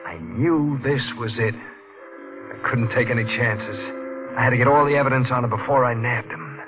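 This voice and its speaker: An actor playing a terse, gruff, hard-boiled detective in a 1940s radio drama. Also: male voice, mid-range voice, moderate pace, phone-quality sound, close to an everyday voice. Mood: tense narrating. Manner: terse, gruff, commanding, slangy. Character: hard-boiled male detective narrating his own case. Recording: band-limited 1940s radio recording, music behind.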